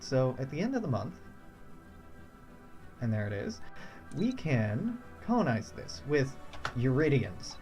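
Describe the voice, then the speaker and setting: A young man from the United States casually thinking aloud while streaming a strategy game, chuckling often. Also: mid-range voice. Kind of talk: casual let's-play commentary.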